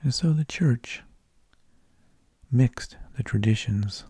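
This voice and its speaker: A man narrating in a soft, breathy ASMR whisper, with slow, deliberate phrasing, close to the microphone. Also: mid-range voice, dry recording. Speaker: ASMR host.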